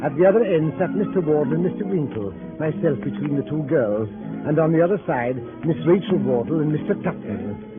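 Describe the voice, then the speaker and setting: An actor playing a composed, literary gentleman narrator, recounting his travels in scripted 1930s radio style. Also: fairly high pitch, expressive, a little fast, close to an everyday voice. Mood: composed, descriptive. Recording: muffled 1938 radio, music behind.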